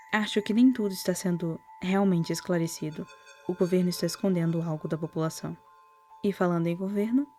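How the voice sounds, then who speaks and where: Brazilian female narrator, dark mellow tone, soft-spoken and wry, scripted horror commentary over faint music. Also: mid-range voice. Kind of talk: whispered-style scripted narration, storytelling commentary.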